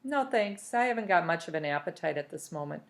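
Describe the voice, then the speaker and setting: A woman's voice: on the low side, animated, a little fast, vocal fry. A woman reading a storybook aloud as a young girl with a casual, matter-of-fact manner.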